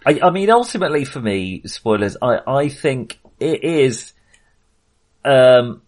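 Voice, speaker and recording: A man's voice: mid-range voice, very animated, measured pace, a little mellow. A man co-hosting a film podcast, talking casually in a hesitant, self-qualifying way with real enthusiasm.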